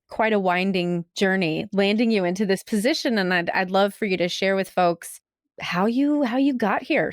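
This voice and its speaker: A woman's voice: mid-range voice, no echo, clean studio sound. A woman hosting a podcast interview from home, chatty and effusive, often laughing. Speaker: podcast host. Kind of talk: casual interview. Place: home, during lockdown.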